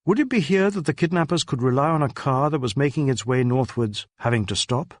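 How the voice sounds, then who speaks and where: A man delivers scripted literary narration of a detective story in a mid-range voice. He phrases carefully and deliberately, like a practised storyteller. The sound is very dry, with no room around it. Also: mid-range voice, lively intonation, no room sound. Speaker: audiobook narrator.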